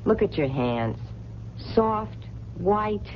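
Brassy late-night waitress, wisecracking but kind, very lively pitch, dull old radio recording. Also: female voice, extremely slow, a little hiss or hum. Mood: brisk, dismissive. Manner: streetwise, wisecracking, colloquial. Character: brassy, soft-hearted, talkative late-night diner waitress. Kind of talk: 1970s radio drama acting.

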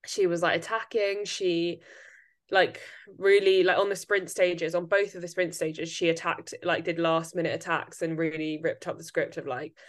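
A woman with a slightly low voice hosts a relaxed podcast conversation. She talks in an animated, rambling way, stacking up fillers and restarts as she builds her point. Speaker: podcast host. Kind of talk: casual chat.

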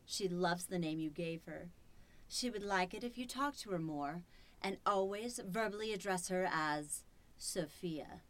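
Woman playing a slow, sinister household robot. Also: lowish voice, fairly bright tone. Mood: condescending, coaxing. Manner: slow, deliberate, condescending. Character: sinister female household robot, coolly menacing and controlling. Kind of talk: old-time radio horror acting.

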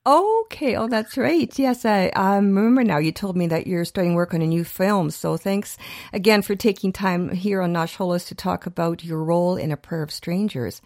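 A woman's voice: on the low side, normal speed, darkish tone, dry recording, studio quality. A woman hosting a radio show, reading a scripted introduction and then interviewing a guest. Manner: reads intro clearly, then chatty with small laughs.

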